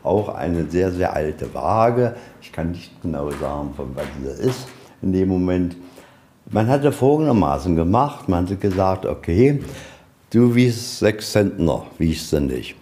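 A man with a very low, soft-edged voice leads a museum tour. He talks in plain, colloquial language and lays out each exhibit one step at a time. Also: very animated, measured pace, a little hiss or hum. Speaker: museum guide. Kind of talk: explaining exhibits on a guided tour. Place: inside a museum, on location.

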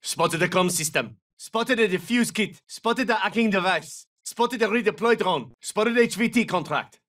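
An actor playing a battle-hardened special forces soldier in a video game, giving clipped, terse military callouts. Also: male voice, high voice, moderate pace, fairly bright tone, far from the mic. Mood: low, alert. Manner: clipped, terse, urgent radio-style callouts.

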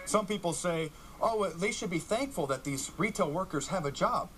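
A man's voice: high-pitched, animated, normal speed, a bit bright, a little hiss or hum. An American man answering interview questions in an opinionated, unapologetic way. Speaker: interviewee, media commentator.

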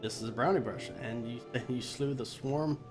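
A man's voice: medium pitch, moderate pace, lightly acted. A man with a cold reading out an earnest, formal military captain's quest briefing from a video game, stumbling a little. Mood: grave, formal. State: has a cold. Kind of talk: quest text read aloud. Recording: game music behind the voice.

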